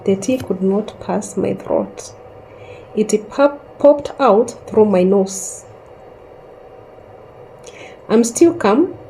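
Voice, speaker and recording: A woman in her thirties slowly telling a personal story in a calm, reflective way, pausing often. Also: mid-range voice, gravelly, a little hiss or hum, low-quality recording. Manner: slow and reflective, with long pauses. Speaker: host sharing a personal story.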